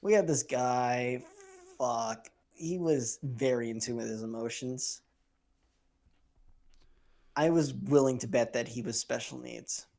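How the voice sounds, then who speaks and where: A man's voice: mid-range voice, takes it slowly, vocal fry, long pauses, a little mellow. A young man co-hosting a podcast, chatting crudely and casually, rambling, swearing and trailing off mid-sentence. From Filipino heritage. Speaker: podcast co-host.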